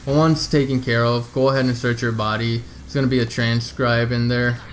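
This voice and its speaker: This is a man hosting a video game walkthrough. He talks casually and informally, addressing viewers directly as he lays out each step. His voice sits at an ordinary middle pitch, with some noise behind it. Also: flat delivery, a little fast.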